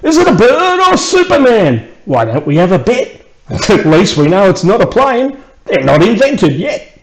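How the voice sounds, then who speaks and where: A man voicing excited, jokey townsfolk gawking at the sky in a storybook. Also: sits high, unhurried, echoey room, pitched above the performer's own voice, heavily acted, low-quality recording. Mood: excited wonder.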